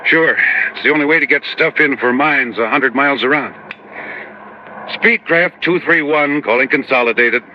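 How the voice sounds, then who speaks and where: A man's voice: mid-range voice, slight background noise, natural voice. A man playing a hearty, folksy cargo pilot struggling with pain in a 1950s radio drama, on a dull old recording. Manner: folksy, halting, gasping.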